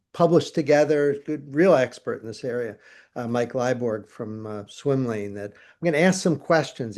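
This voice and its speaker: A middle-aged man with an average-pitched voice hosts a conversation. He asks long, rambling questions, circling back and restarting phrases. His delivery is friendly and unscripted. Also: a little mellow. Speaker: interview host. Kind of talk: conversational interview hosting.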